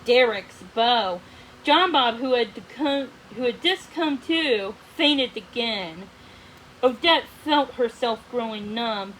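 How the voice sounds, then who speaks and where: A woman narrating a storybook aloud, haltingly, often stumbling and going back over phrases. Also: on the high side, a bit bright, slight background noise, low-quality recording.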